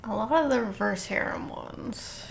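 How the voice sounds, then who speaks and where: A woman's voice: medium pitch, normal speed, gravelly. A young adult woman from the southern United States, chatting as a playful, sarcastic podcast co-host. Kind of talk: casual comedic chat. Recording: roomy echo, faint background noise.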